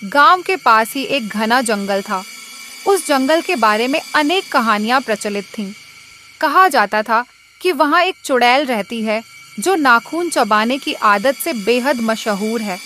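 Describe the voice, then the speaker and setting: A woman's voice: on the high side, lively intonation, unhurried, bright, forceful tone, slight background noise. A woman reading a scripted moral tale as a storyteller.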